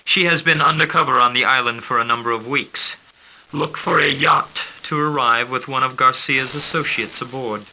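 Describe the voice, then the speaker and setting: An actor playing a formal male intelligence handler who briefs a commander on his missions in a calm, businesslike way. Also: medium pitch, slight background noise, rough recording. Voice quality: crisp, formal delivery. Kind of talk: scripted game briefing.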